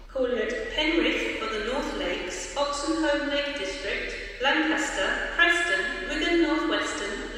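A woman's automated railway announcement voice, with measured, evenly spaced delivery, played over a station PA and recorded from a distance on the platform. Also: a little high, sharp tone. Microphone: phone or camera recording a PA speaker from afar. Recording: echoey station PA heard from far off. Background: station ambience.

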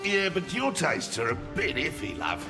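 A man's voice: a little high, wildly swooping pitch, moderate pace. A man voicing a cocky, greedy cyborg mercenary with an Australian accent, taunting in slang for a video game. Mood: mocking. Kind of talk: video game fight banter. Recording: long reverb over loud music.

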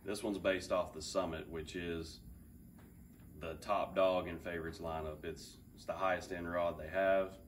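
A man in his thirties with a low voice talks through gear in a relaxed, eager way, with plenty of fillers. He is picked up by a camera several feet off in a roomy open garage. A faint hiss of ambience sits under him. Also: measured pace, far from the mic. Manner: relaxed, enthusiastic, thinks aloud with fillers. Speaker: fishing channel host. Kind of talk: casual product review. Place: large open garage with a boat. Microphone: camera several feet away.

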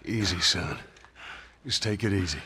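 A man playing a gruff, distrustful middle-aged survivor in a video game, terse and guarded. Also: deep voice, normal speed, pushed and bright, dry recording, full character voice. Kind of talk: naturalistic video game cutscene acting.

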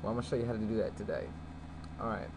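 Guy doing a casual computer how-to, lowish voice, noisy homemade recording. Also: steady tone, very fast. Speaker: tutorial host. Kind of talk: casual screen-recorded software tutorial. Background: background noise.

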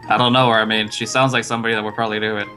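A man's voice: fairly low pitch, level tone, racing, sharp tone. A man bantering casually with a friend while playing a video game. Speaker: gaming co-player. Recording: music under the voice. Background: background music.

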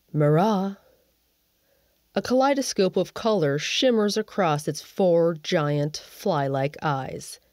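A woman's voice: low-pitched, a little mellow, dry recording, clean studio sound. A woman reading a scripted sci-fi story as narrator, with wry, conversational asides. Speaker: narrator and writer of a sci-fi audio series.

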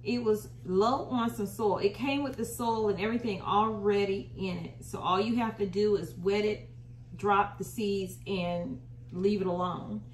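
A woman's voice: mid-range voice, fairly even intonation, a little slow. An American woman talks through a homely how-to in a casual, folksy way. She is recorded indoors some distance from the microphone, with noticeable room echo and a little background noise. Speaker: home gardening video host. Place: small indoor room at home. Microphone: camera a short distance away. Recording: roomy, distant mic, faint noise.

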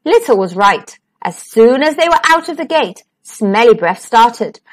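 A woman's voice: fairly high pitch, big pitch swings, a little slow. A woman hosting a storytime, reading a children's picture book aloud. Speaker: storytime host and narrator.

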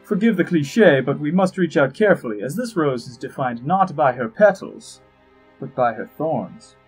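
A man playing a husky, pompous, scheming ambassador. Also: a little high, expressive, moderate pace. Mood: droll, exasperated. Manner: pompous, droll, precise, theatrical. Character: urbane, wry, scheming elven-realm ambassador and spymaster. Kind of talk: dramatised dossier narration in a fantasy audio drama. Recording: music behind the voice.

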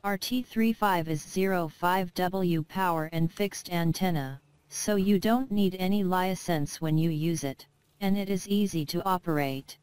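A woman's voice: fairly low pitch, unhurried, darkish tone, clear, resonant tone, no room sound. A woman reading a scripted product narration, with careful, non-native English phrasing. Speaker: product presenter.

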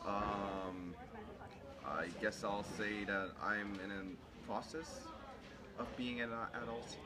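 Low-voiced twenty-year-old man from Korea. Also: steady tone, slow, crowd noise. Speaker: interviewee. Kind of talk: short interview answers.

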